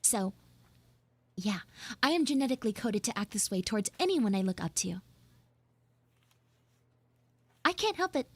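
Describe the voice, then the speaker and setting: A woman's voice: a little high, sing-song, a little fast, husky, no echo, full character voice. A young woman playing a flustered, pleading tsundere schoolgirl in a live roleplay, rambling and stammering dramatically. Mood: embarrassed, confessing. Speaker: live audio roleplay streamer. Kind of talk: improvised live character roleplay.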